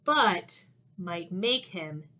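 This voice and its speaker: A woman teacher reading a children's story aloud expressively, with dramatic pauses and questions to young listeners. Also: on the low side, animated, sharp tone.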